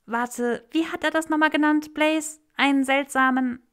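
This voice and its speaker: A woman voicing a chatty, excitable young male rebel in a German audiobook reading of fan fiction. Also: sits high, a little slow, voice pushed up. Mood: eager gossiping. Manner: excitable, blurting.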